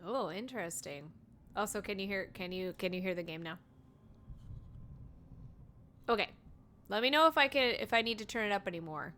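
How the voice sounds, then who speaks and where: Bright-voiced casual woman streamer. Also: medium pitch, animated, fast talker, slight background noise. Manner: chatty, informal. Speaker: livestreamer. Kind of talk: casual game stream chat. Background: faint game music.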